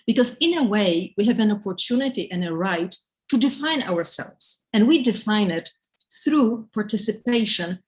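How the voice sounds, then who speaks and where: She's an energetic woman teaching over a video call, cheerfully nudging people to speak. Her lecturing is clear and steady, laying out each point in order. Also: mid-range voice, moderate pace, low-quality recording. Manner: upbeat, encouraging, explains step by step. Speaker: professor hosting a live online session on networks. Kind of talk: lecturing and moderating introductions.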